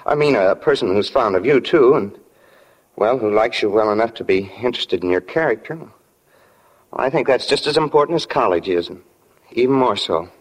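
Man acting a husky, earnest young bridegroom. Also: medium pitch, lively intonation, lightly acted. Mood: shy, earnest. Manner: halting, boyish, earnest. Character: earnest, nervous small-town young bridegroom. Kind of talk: old-time radio drama acting. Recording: dull old radio recording.

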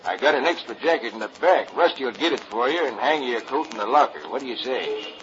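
A man's voice: mid-range voice, lively intonation, fast talker, fairly bright tone, dull audio, a little hiss or hum, close to an everyday voice. A man voicing a folksy grown cowboy truck driver with drawling slang, on an old radio recording. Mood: friendly.

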